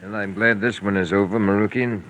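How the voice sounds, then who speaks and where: An American actor playing a weary, skeptical, laconic hired investigator in 1940s radio mystery style. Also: male voice, lowish voice, fairly even intonation, a little fast, heavy background noise, close to an everyday voice. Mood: skeptical, resigned. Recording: dull, muffled 1940s radio recording.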